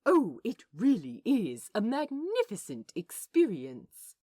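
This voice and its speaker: A woman voicing a gentle, fussy male aquarium guide in a fan fiction audio drama, courteous, teacherly and softly enthusiastic. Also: fairly high pitch, wildly swooping pitch, slow pace, heavily acted. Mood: warm, delighted.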